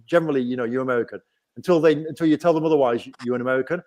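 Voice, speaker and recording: A man's voice: medium pitch, very fast, a little mellow. English man, casual stream host, rambling explainer, mid-range voice. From England. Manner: chatty, explains at length with restarts. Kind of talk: casual live-stream chat.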